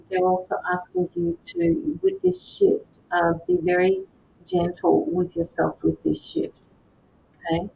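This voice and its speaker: A woman doing live tarot and mediumship readings, speaking gently to viewers by name with frequent hesitations. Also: gravelly. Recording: slightly dull lo-fi sound with faint rumble.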